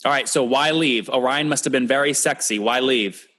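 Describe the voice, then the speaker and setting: A man hosting a podcast interview, putting brisk questions in a blunt, direct way. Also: mid-range voice, bright, forceful tone.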